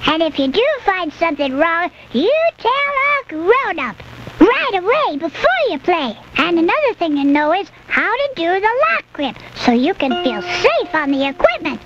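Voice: very high-pitched, sing-song, moderate pace, background noise. Eager young cartoon duck boys. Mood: earnest. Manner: cheerful, earnest explaining. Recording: muffled old 16mm film sound with music.

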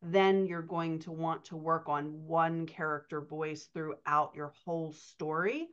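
A woman's voice: sits low, steady tone, unhurried, clean tone. A middle-aged woman explaining things as a writing coach on a conversational podcast, stressing key words, over a video call. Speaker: writing coach answering questions. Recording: dull, muffled video-call audio.

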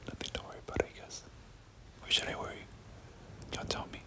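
Whispering ASMR gamer with rhythmic word repetition. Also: fairly quick. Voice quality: breathy unvoiced whisper. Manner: repeats words in soft rhythmic runs. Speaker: gaming content creator. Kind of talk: whispered ASMR game commentary.

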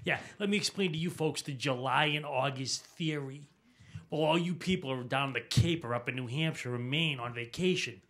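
A middle-aged man doing an energetic, punchy stand-up set on a small TV studio stage, into a handheld mic. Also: mid-range voice, normal speed. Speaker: stand-up comedian. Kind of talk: stand-up routine.

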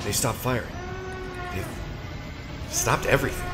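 A man playing a gruff, skeptical starship skipper in a science fiction audio drama, wry and exasperated. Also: mid-range voice, huge pitch swings, measured pace. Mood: tense. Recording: loud music under dialogue.

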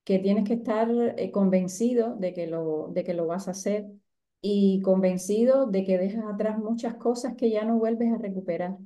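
A middle-aged woman with a softened Cuban accent, speaking reflectively as a guest in an interview over a video call. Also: mid-range voice, normal speed. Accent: Cuban, softened by years abroad. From Cuba. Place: indoor room at home, on a video call.

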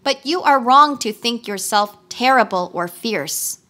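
Tick-Tock, a woman doing a calm clockwork man. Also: a little high. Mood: steady. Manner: flat, measured, commanding. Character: calm copper clockwork man, steady and unemotional.

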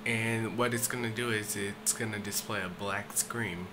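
A man giving a casual, unscripted step-by-step tech tutorial, speaking informally with hesitant pauses, recorded indoors on a handheld camera. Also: lowish voice, steady tone, background noise. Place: indoors, small dim room at a desk setup. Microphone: handheld camera or phone mic.

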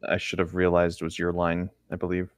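A man in casual, playful co-host chat, quick to joke. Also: sits low, completely flat delivery, no room sound, clean studio sound. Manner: playful, laughs readily.

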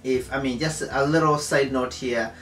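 A man's voice: medium pitch, fairly even intonation, fairly bright tone, soft background music. Ugandan man, 30s, home vlog co-host; hesitant delivery, roomy sound. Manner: halting, many fillers and restarts. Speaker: co-host, husband. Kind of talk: casual chat. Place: living room, seated on a couch.

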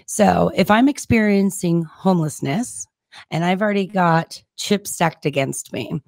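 A woman co-hosting a podcast in a studio, unhurried and affirming. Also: fairly low pitch, creaky, darkish tone, dry recording. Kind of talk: panel podcast discussion.

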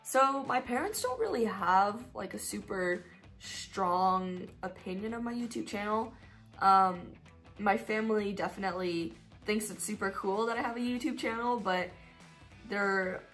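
Chatty teenage girl answering questions. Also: medium pitch. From Colorado, US. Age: teens. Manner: chatty, upbeat, rambling with fillers. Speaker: YouTuber answering viewer questions. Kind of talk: casual Q&A vlog.

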